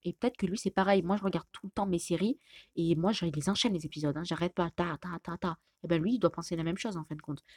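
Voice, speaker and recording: A young woman chats with her partner, teasing and breaking into laughter. Her voice sits slightly low and sounds close in a dry room. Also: steady tone, darkish tone, dry recording. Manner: teasing, laughs often, quick interjections. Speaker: co-host. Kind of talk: casual couple chat.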